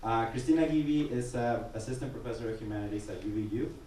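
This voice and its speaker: A man from Mexico moderating a public museum panel, earnest, with a voice he calls loud. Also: medium pitch, fast talker. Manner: says he has a loud voice; earnest. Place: museum gallery. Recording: echoey room, distant mic, noisy.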